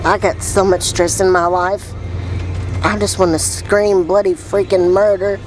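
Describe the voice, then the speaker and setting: A woman's voice: medium pitch, flat delivery, unhurried, faint music behind, low-quality recording. A woman in her twenties hosting a casual vlog, speaking in an informal, slangy, exclamatory way. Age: 20s. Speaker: vlog host.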